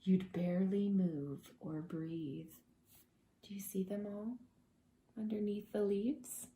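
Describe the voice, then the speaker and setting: A woman reading aloud to preschoolers as their teacher, expressive and gentle, drawing out words and adding conversational asides. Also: mid-range voice, echoey room. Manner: gentle, deliberate, drawing out words for young listeners.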